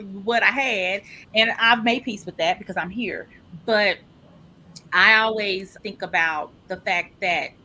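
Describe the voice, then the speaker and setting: A woman's voice: mid-range voice, bright, forceful tone, a little hiss or hum, low-quality recording. A woman guest speaking candidly and animatedly in an interview about her own experience. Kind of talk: casual interview talk.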